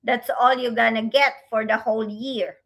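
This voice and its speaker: A woman who is not a native English speaker, co-hosting a casual livestream chat, talking slowly with many fillers and pauses. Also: fairly high pitch, steady tone, creaky. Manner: slow, halting, many fillers. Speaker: co-host.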